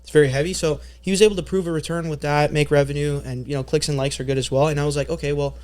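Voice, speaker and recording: Young canadian guy on a podcast, kinda high voice, chill and slangy, lots of ums. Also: steady tone, very fast, darkish tone. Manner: laid-back storytelling with lots of fillers and slang. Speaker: podcast guest, marketing agency owner. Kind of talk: casual podcast chat.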